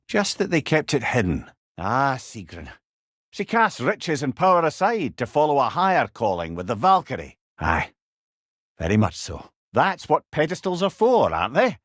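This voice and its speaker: A wise old Scottish companion from a video game, talkative and sentimental under the wry surface. His delivery swings freely up and down in pitch, folksy yet eloquent. The voice sits close and dry, with no room around it. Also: huge pitch swings, normal speed, a bit bright, no echo, heavily acted. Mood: wistful, then warmly encouraging. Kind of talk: video game character dialogue.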